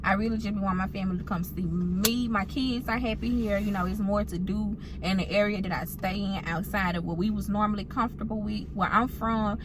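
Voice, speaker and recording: A woman's voice: mid-range voice, a little fast, hardly any pauses. A young woman with a Mississippi Southern accent, rambling casually with lots of fillers while driving her kids, recorded on a phone camera inside a car. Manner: relaxed, rambling, many fillers. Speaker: mom vlogger. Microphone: phone camera on a stand. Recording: loud car road noise.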